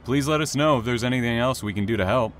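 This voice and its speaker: A man's voice: mid-range voice, fast, a little hiss or hum, lightly acted. A man playing a sober, rule-minded train engineer in an audio drama, reserved and businesslike. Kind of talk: naturalistic audio drama acting.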